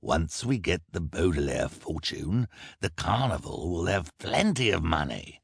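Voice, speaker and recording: A man's voice: sits low, very animated, a little slow, vocal fry, husky, dry recording, clean studio sound, pitched above the performer's own voice, exaggerated voice. A man voicing a greedy, scheming villain who speaks in a smug, cold way.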